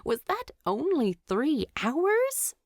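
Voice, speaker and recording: A woman's voice: sits high, wildly swooping pitch, slow pace, no echo, studio quality, voice pushed up, full character voice. A woman voicing a proud male dwarf king, weary and brooding, in a storybook narration. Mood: weary, exasperated.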